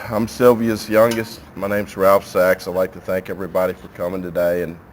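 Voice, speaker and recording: A man giving a heartfelt, sincere funeral eulogy as a grieving son, speaking haltingly. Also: low voice, level tone. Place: funeral chapel. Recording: noisy live room recording.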